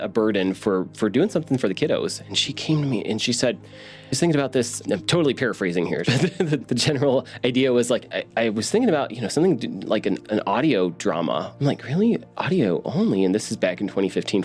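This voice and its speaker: A man casually recalling past work in an interview, acting out bits of remembered conversation in an animated way. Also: lowish voice, fast, faint music behind. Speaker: media producer.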